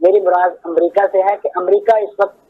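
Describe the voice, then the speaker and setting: Through narrow, phone-like audio with little room sound, a man speaks in a high voice. He delivers formal news analysis in long, elaborate sentences. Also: flat delivery, brisk, no room sound.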